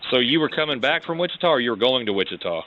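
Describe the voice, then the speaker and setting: Male cop asking questions on a call, medium pitch, halting and probing. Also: lively intonation, dull audio, slight background noise. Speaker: police detective. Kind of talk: informal questioning on a phone call.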